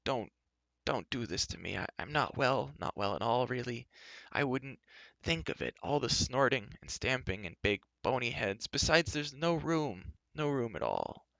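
A man's voice: fairly low pitch, fairly even intonation, a little fast, hardly any pauses, a bit bright, no echo, highs cut off. Man as a mournful, whining fairy doorkeeper. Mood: whining, pleading. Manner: sighing, moaning, plaintive, hesitant. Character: thin, mournful, anxious, whining male fairy-folk doorkeeper. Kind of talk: audiobook character voice.

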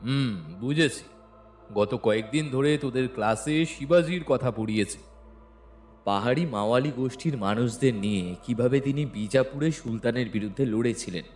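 A man playing an amused village history teacher who questions and gently teases his pupil in an audio drama. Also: mid-range voice, moderate pace, faint music behind, lightly acted. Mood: knowing. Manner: questioning, bantering. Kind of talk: dramatised audio story dialogue.

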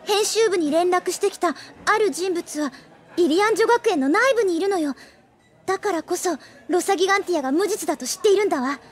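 A quick-witted schoolgirl in an anime audio drama. Her voice is extremely high, and she works through her deductions with earnest excitement. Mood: earnest deducing.

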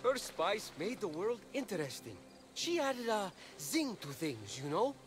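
An actor playing a gossipy, flippant young messenger god in a video game, catty and breezy. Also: male voice, high voice, very animated, measured pace, faint music behind. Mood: wistful. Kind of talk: video game cutscene acting.

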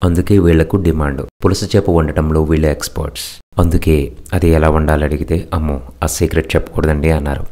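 A man giving calm, reflective voice-over narration for a market travel video. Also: very deep voice, quick pace. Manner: calm, thoughtful, philosophizing.

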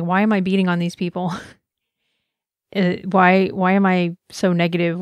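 A woman's voice: lowish voice, no echo. A woman giving a personal, earnest conference talk, speaking with passion and reflection. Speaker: conference presenter.

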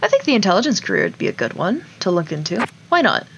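Woman, mid-pitched, very varied intonation, casual gaming commentary, informal self-talk, noisy recording with clicks. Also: wildly swooping pitch, quick pace, highs cut off. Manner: informal, sweary, talking to herself and game characters. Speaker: gaming streamer. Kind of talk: casual Let's Play commentary of a life simulation game. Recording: clear background noise, mouse clicks.

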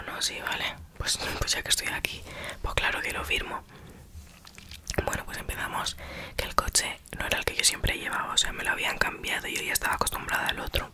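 Whispering young woman telling a story. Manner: chatty, self-mocking, acts out quoted exchanges.

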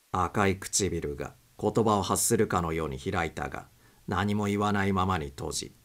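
A man with a low-pitched voice narrates a suspense story. He reads from a script as an audiobook narrator, his voice sitting deep in the male range. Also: gravelly, a bit bright.

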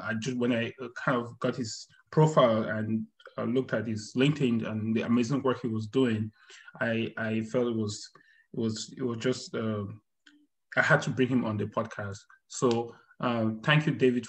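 A man's voice: medium pitch, rough recording. Male podcast host, thirties, mid-range voice; hesitant, conversational delivery on a video call. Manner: halting, with many hesitations and repeats. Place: indoor room, video call.

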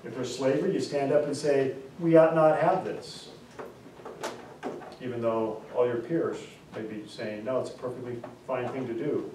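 A man in his forties teaching a class, explaining terms through repeated examples and stressing key words slowly. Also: medium pitch, fairly even intonation, a little mellow, slight background noise. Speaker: instructor. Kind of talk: classroom lecture. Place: classroom, indoors. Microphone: far camera. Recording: echoey classroom from far off.

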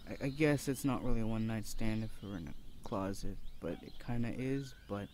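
A man's voice: lowish voice, a little fast, a little mellow, slight background noise, natural voice. A young man playing a shy, nerdy college student in an ASMR roleplay, hesitant and flustered as he rambles nervously. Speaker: voice actor. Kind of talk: ASMR boyfriend audio roleplay. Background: birds and outdoor ambience.

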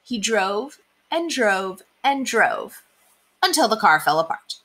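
Young lady reading kids books, super sing-song, takes her time, brightish. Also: medium pitch, sing-song, takes it slowly. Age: young adult. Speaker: children's read-aloud storyteller. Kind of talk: expressive storybook reading. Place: small indoor room.

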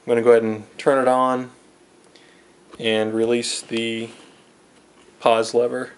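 A man talks through a casual equipment demonstration in an unscripted way. His mid-pitched voice stays quite flat and even. The delivery is hesitant, with frequent pauses as he feels out his next phrase. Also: slight background noise, rough recording. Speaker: online seller demonstrating equipment.

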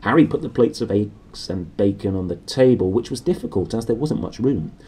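A British man in his thirties reading a storybook aloud briskly and expressively to a camera in a small room. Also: lowish voice, animated, dark tone, breathy edge. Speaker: reader. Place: small decorated room indoors.

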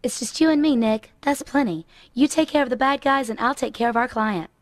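A woman's voice: a little high, brisk, no echo, close to an everyday voice. A woman playing a playful, ditzy but confident glamorous bodyguard boss in a video game. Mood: breezy. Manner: playful, cutesy. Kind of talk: video game cutscene voice acting.

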